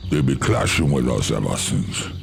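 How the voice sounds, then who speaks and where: Proud troll chieftain, extremely deep and gravelly, fantasy game cutscene. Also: male voice, very animated, normal speed, slight background noise, exaggerated voice. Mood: grave, resolute.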